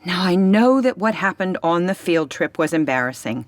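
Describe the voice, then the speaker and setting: Woman playing a fussing, very animated motherly aunt. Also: on the low side, moderate pace, no room sound, lightly acted. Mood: exasperated. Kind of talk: radio drama acting.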